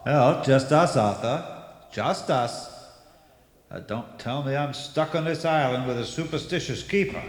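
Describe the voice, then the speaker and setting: A man's voice: medium pitch, unhurried, lightly acted. An actor playing a skeptical, matter-of-fact male head lighthouse keeper in a radio drama. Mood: steady, practical. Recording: echoey hall reverb, faint cassette noise.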